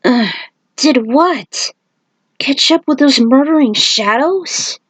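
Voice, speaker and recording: A woman's voice: high-pitched, animated, takes it slowly, no echo, pitched above the performer's own voice. A woman voicing a groggy, blunt young male warrior in a fan fiction story reading. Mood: groggy, annoyed.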